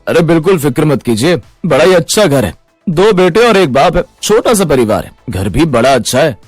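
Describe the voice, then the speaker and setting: A man playing an eager, chatty matchmaker friend, brisk and persuasive, in a cartoon moral story. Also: fairly high pitch, very animated, dry recording, faint music behind, voice pushed up. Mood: reassuring.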